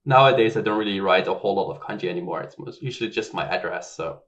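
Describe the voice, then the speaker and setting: Low-voiced man from Belgium, dull audio. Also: fast. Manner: relaxed, chatty, heavy use of "like". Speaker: software engineer guest. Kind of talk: casual conversational interview.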